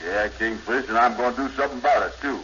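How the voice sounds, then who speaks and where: An actor playing a gullible, slow-witted middle-aged man, earnest and simple, in 1950s radio comedy style. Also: mid-range voice, bright, forceful tone, close to an everyday voice. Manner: earnest, simple, drawn-out yeahs, ungrammatical. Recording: muffled, faintly noisy 1950s radio recording.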